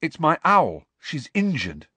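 A man's voice: fairly high pitch, huge pitch swings, slow pace, sharp tone, husky, no echo, voice pushed up, full character voice. A man voicing an earnest, anxious schoolboy who is hesitant but insistent, in a storybook.

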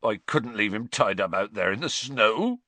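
A man voicing a huge, soft-hearted gamekeeper who sobs and talks in a rough rustic dialect. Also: lowish voice, animated, normal speed, dry recording, exaggerated voice. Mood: sobbing.

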